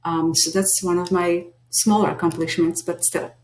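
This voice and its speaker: A woman's voice: lowish voice, flat delivery, moderate pace, darkish tone. A middle-aged woman from Slovakia with a Slovak accent, giving an earnest, hesitant introduction of herself to a class. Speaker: teacher lead and behavior analyst. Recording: echoey room.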